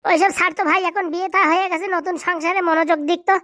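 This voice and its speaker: A man performs a practical village buddy in a comedy cartoon. He bickers and chides bossily in a high, very fast voice, recorded dry. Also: little pitch movement, bright, forceful tone, dry recording. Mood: scolding. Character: bickering, practical village buddies planning food and shopping. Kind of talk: comedy cartoon dialect acting.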